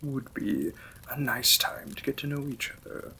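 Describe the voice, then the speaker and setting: A man playing a courteous, reserved Victorian vampire lord in a romantic audio drama, speaking in formal, gentle, polite phrases. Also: medium pitch, expressive, moderate pace, creaky, slight background noise, heavily acted, rough recording.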